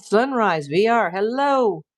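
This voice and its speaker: A woman's voice: fairly high pitch, animated, slow. A middle-aged woman hosting a casual livestream, chatting and reading viewer comments while losing her voice. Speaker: livestream host.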